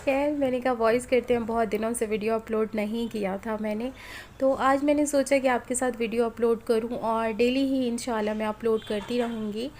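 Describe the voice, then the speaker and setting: A woman's voice: on the high side, fairly even intonation, moderate pace, darkish tone. A woman in her thirties from Pakistan, with a sore, strained throat from flu, chatting casually through a home cooking voice-over and talking straight to viewers. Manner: chatty, homely, addresses viewers directly. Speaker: cooking vlogger. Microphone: voice-over recorded at home. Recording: faint background noise at home. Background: street and household noise.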